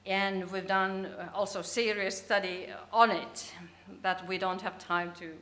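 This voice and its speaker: Bright-voiced Finnish woman presenting. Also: medium pitch, flat delivery, a little slow. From Finland. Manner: hesitant, restarts words mid-sentence. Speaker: conference presenter. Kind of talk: academic presentation. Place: conference hall.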